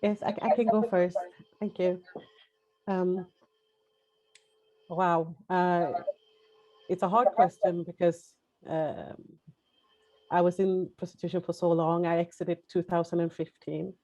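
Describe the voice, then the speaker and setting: A woman with a Swedish accent speaks over a video call in a low, mellow voice. She talks haltingly, with fillers and restarts as she hunts for English words. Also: steady tone, muffled recording. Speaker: webinar panelist. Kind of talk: personal testimony on a webinar.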